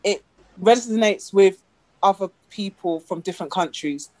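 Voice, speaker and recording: A woman with a British accent from England, a lively guest telling stories in a casual interview and acting out the voices of the people she quotes. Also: mid-range voice, normal speed, no echo, poor audio. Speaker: guest, animator.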